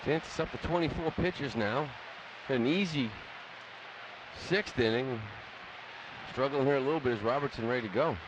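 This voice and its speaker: A man's voice: medium pitch, moderate pace, noisy background. A man giving informal, conversational color analysis on a live radio baseball broadcast. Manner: informal, explains plays in everyday talk. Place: broadcast booth at an outdoor ballpark. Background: loud ballpark ambience.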